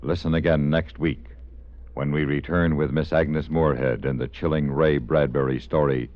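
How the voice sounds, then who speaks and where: A man's voice: rumbling low, steady tone, normal speed. A man announcing a 1950s radio drama series in a scripted style. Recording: muffled old radio recording.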